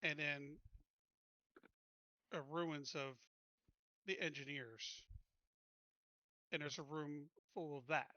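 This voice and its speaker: A man playing a tabletop roleplaying game, talking casually and haltingly as he gropes for words. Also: on the high side, steady tone, bright, forceful tone, dry recording, dull audio.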